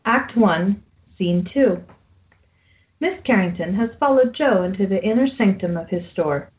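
Woman narrating a radio play script, medium pitch, dull muffled audio. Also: animated, a little slow.

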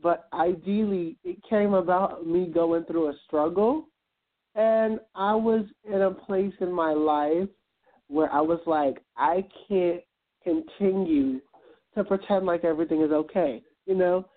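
A man's voice: high voice, a little slow. A man from Guyana, a guest on an internet radio interview, speaking in an earnest, reflective way with many ums and uhs. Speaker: guest, event planner and entrepreneur. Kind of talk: motivational interview talk. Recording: tinny phone line.

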